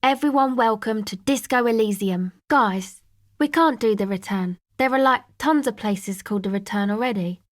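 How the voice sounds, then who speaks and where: A woman's voice: a little high, moderate pace, no echo, close to an everyday voice. A woman voicing a laid-back young woman from a street rave crew in a video game, talking casually in a dry, hesitant, slangy way. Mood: offhand, sceptical. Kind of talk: video game character dialogue.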